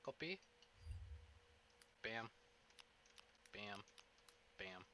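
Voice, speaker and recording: Bright, forceful man streaming. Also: fairly low pitch, very slow, slight background noise. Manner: chatty, animated, self-interrupting, playfully profane. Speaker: streamer, host of a visual novel stream. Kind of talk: casual livestream chat to viewers. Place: indoors at a desk.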